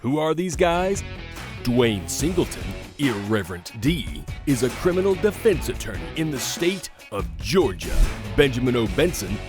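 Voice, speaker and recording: A man reading the scripted show intro as announcer, with a dramatic delivery. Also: medium pitch. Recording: voice-over over guitar music.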